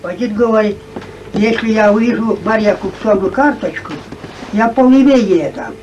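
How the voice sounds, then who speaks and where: A man telling a folk tale aloud in short, halting phrases, on an old 1990s archive video recording. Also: high voice, lively intonation, darkish tone, distant mic, highs cut off, a little hiss or hum. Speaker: folk storyteller.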